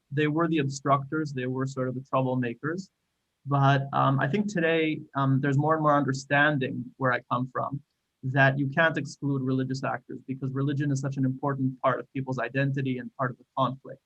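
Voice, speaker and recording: A man's voice: medium pitch, fairly bright tone, muffled recording. A man with an Israeli accent speaking thoughtfully on a discussion panel, with frequent small restarts. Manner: thoughtful, measured with hesitant restarts.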